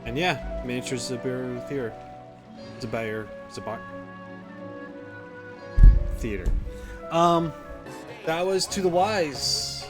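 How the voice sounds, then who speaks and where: A man's voice: mid-range voice, slow, long pauses. A man giving casual, rambling video game commentary as a streamer. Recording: music playing behind the voice.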